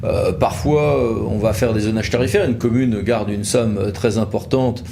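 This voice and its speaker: A man's voice: lowish voice, fairly even intonation, moderate pace, gravelly, warm tone, a little hiss or hum. A man presenting as a legal expert, explaining in an informal, conversational way with wry, colloquial asides. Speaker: legal expert from a law firm presenting a video.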